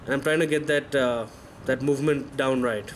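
A man's voice: mid-range voice, steady tone, normal speed, a little hiss or hum. A man in his thirties, a fitness vlogger, giving candid, self-correcting voice-over commentary on his own workout footage. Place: voice-over, not recorded in the pictured gym.

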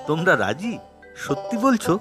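A man's voice: high voice, sing-song, moderate pace, a bit bright, faint music behind. A man voicing an earnest, helpful villager in a cartoon, speaking expressively. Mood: delighted. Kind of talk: Bengali cartoon voice acting.

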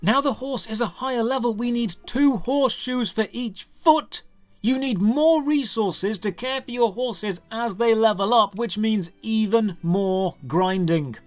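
High-pitched British man, sarcastic game reviewer. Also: a little slow. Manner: sarcastic, exasperated. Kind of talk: scripted video game review narration. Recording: music under the voice. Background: background music.

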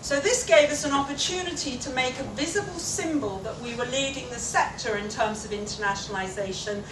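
A woman delivering a conference keynote speech in a large lecture room, explaining at a measured pace with occasional fillers. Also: high-pitched. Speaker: keynote speaker. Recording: echoey room, distant mic, noisy.

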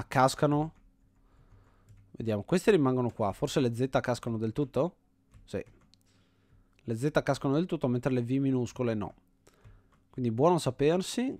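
An Italian man gives casual commentary as he plays. His voice sits at an average male pitch and is recorded dry, with little room sound. He reasons out loud as he goes, often doubling back on his own words. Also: no room sound. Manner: thinks aloud, often repeating himself. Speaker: host of an indie game video series.

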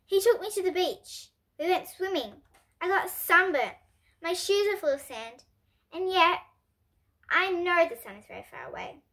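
A woman's voice: very high voice, animated, moderate pace, a bit bright, pitched above the performer's own voice, full character voice. A young girl voicing an earnest, bewildered father in a children's storybook, with thoughtful, emphatic phrasing. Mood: astonished.

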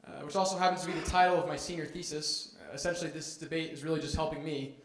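A young college man reads speaker introductions from his notes, loud and a little high in pitch. The lecture hall around him gives his voice a roomy echo. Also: steady tone, quick pace, a little hiss or hum. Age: young adult. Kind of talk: reading formal introductions. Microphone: handheld microphone at a lectern.

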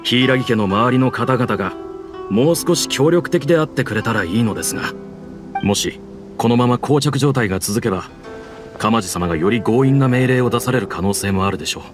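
A man's voice: mid-range voice, fairly quick, over music, natural voice. A man playing a dutiful, firm official envoy in an anime game, speaking with businesslike courtesy. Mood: firm, courteous. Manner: formal, businesslike politeness.

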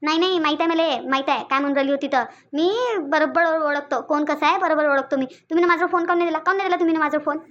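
A woman's voice: sits high, fairly even intonation, fast, fairly bright tone, lo-fi sound. A woman voicing a jealous, insecure young wife in a Marathi cartoon, speaking Vidarbha dialect and insistently repeating her demands. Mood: indignant, jealous. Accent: Vidarbha (Varhadi) Marathi. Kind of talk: Marathi cartoon story dialogue.

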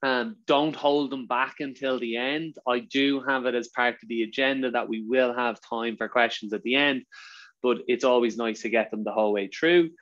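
A man's voice: medium pitch, fairly even intonation, a bit bright, lo-fi sound. Chatty Irish man on a video call. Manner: fast-talking, chatty, filler-heavy. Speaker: webinar presenter. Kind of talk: casual webinar chat.

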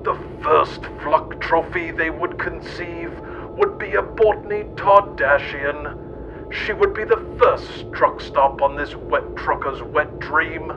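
Male narrator, slightly high-pitched, theatrical mock-serious storybook delivery, noisy lo-fi sound. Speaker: narrator and host. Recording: dull, noisy, rumbly old-radio sound.

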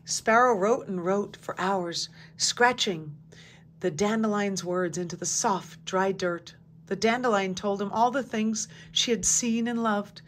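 Woman calmly reading a picture book aloud. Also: medium pitch, unhurried, slight background noise. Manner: calm, even storytelling, hushed at quiet moments. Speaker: storybook reader.

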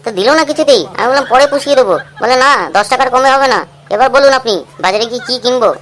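A woman's voice: high-pitched, very fast, a bit bright, low-quality recording. A woman playing a chatty, naive, cheeky clinic patient in a comic cartoon, wordy and colloquial. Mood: complaining. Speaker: cartoon voice actor. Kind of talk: comic Bengali cartoon dialogue.

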